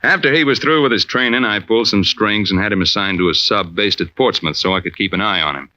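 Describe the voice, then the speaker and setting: An actor playing a proud, middle-aged Navy deep-sea diver and father in an old-time radio drama, gruff, wry and clipped in manner. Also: fast talker, bright, forceful tone. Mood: reflective narration. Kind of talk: old-time radio drama acting with first-person narration. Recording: dull, band-limited old radio recording.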